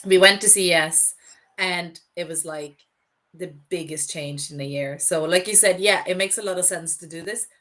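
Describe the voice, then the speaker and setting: A woman's voice: on the low side, normal speed, a bit bright. A woman who is unwell and losing her voice, talking informally and anecdotally as an interview guest. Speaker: podcast guest, startup founder. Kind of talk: casual interview talk.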